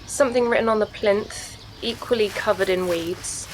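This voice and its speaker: A woman's voice: medium pitch, moderate pace, a little hiss or hum, natural voice, low-quality recording. A woman in a radio play playing a wry, hard-up poet, guarded and given to dry quips. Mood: puzzled, dry. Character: wry, sceptical, hard-up female performance poet.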